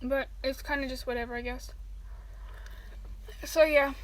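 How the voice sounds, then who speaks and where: High voiced girl rambling w lots of ums, eating while she talks, reading fanfic at home. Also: fairly even intonation, measured pace, low-quality recording. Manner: rambling, filler-heavy. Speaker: fan fiction reader. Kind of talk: reading fan fiction aloud and casual chat.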